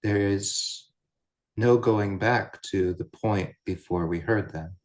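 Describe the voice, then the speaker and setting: A man guiding a meditation as a teacher, speaking in measured, deliberate short phrases with pauses between them. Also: on the low side. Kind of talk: guided meditation, unscripted Buddhist teaching.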